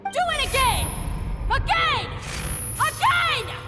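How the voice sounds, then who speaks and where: Tyrannical head chef, extremely high shrill voice, wildly swooping, scornful, barking, echoing reverb. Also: female voice, sing-song, slow, heavily acted. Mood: scornful fury. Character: harsh, domineering head chef berating an underling. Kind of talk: animated video game voice acting. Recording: long reverb, music behind.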